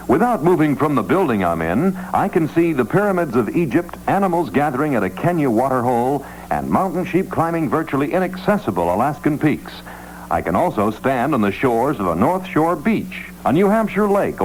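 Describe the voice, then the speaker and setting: A man's voice: fairly high pitch, big pitch swings, normal speed, a little hiss or hum, poor audio. A man reading a scripted clue for a radio contest.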